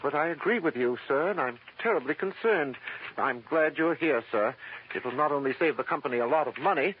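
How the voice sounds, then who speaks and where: A man's voice: a little high, a little fast, lightly acted. Casting: male actor as dingy, worried older office man for radio serial, formal and meek, thin telephone-like vintage sound with hiss. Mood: worried, deferential. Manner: deferential, formal, hesitant. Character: dingy, older, polite, anxious male insurance agent. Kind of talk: golden-age radio drama acting. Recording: tinny, noisy vintage radio recording.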